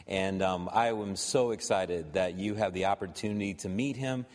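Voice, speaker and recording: A man's voice: low voice, normal speed, a little mellow. A man giving an informal spoken introduction of a guest speaker at church. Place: large reverberant room. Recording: echoey hall with long reverb.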